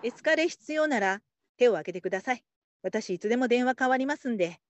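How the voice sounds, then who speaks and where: A woman playing a patient, supportive call-centre supervisor in a radio drama, calm and politely instructive. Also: a little high, quick pace, no echo, highs cut off, lightly acted. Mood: encouraging. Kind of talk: Japanese radio drama acting.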